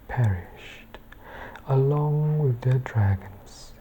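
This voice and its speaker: Very low, hushed, slow woman reader. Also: narrow, tinny audio, slight background noise. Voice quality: soft, breathy, often slipping into whisper. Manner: hushed, measured reading. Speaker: host of a bedtime book-reading channel. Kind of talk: ASMR bedtime book reading.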